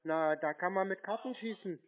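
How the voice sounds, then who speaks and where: A laconic man on a prank phone conversation, playing dumb and answering deadpan. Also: sits high, normal speed, phone-quality sound. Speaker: prankster answering scam calls.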